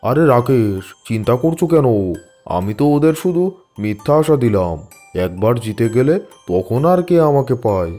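Man playing a mellow, scheming village politician. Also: mid-range voice, lively intonation, moderate pace, soft background music, close to an everyday voice. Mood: smug. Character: scheming male village politician making promises.

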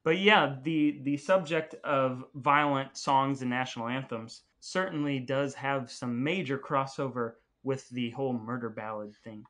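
A man's voice: medium pitch, fairly even intonation, measured pace, few pauses, a bit bright. A man co-hosting a podcast, chatting casually in a playful, jokey way. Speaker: podcast co-host.

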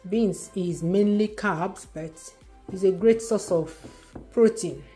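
A woman's voice: mid-range voice, takes it slowly, dark tone, soft background music. A Nigerian woman in her forties hosting a cooking video in her home kitchen, chatty and playful, thinking aloud as she cooks. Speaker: cooking channel host. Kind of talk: cook-along cooking video. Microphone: camera at a distance.

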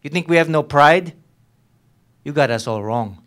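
A man's voice: a little high, normal speed, fairly bright tone, lightly acted. A young man playing a taunting, volatile Filipino police lieutenant in a staged dramatic reading of a novel. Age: young adult. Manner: taunting, interrogating, sprinkles in Tagalog slang. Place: on stage at a live reading event. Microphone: microphone on a stand.

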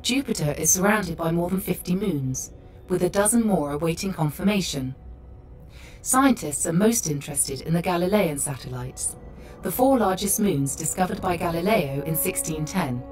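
Woman as low, calm ship computer guide. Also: close to an everyday voice. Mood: calm, informative. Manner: measured, factual. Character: female spaceship computer voice, calm informative tour guide. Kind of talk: scripted educational narration. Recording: slightly distant voice under music. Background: music.

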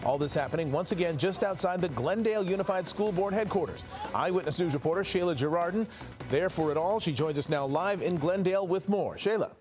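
Man anchoring the news, high voice. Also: a little mellow, soft background music. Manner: measured, polished delivery. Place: TV studio.

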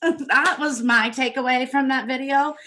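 A woman in her thirties talks candidly as a podcast guest, pausing to think as she goes. Her voice is bright and forward. She sits on a living-room sofa in front of a tabletop microphone. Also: high-pitched, steady tone, slight background noise. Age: 30s. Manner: open, reflective, thoughtful pauses. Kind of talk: candid personal conversation. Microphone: tabletop podcast mic.

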